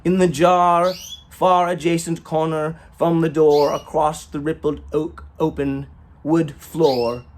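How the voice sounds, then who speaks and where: A man reading a short story as its storyteller, in a theatrical, rhythmic manner with drawn-out emphasis and rhyming phrases. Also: on the high side, flat delivery. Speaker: storyteller, author reading own work.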